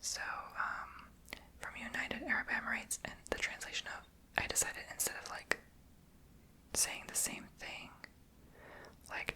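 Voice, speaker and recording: Chatty whispering woman doing ASMR. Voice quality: says she is tongue-tied and makes her r in the throat. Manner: soft unvoiced whisper, chatty and rambling. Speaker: ASMR creator.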